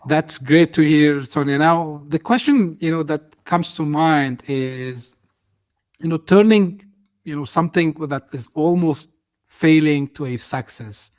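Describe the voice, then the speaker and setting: A man's voice: a little high, a little slow, dry recording. A man hosting an interview podcast, asking long, hesitant questions full of fillers. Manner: hesitant, many "you know" fillers. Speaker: podcast host.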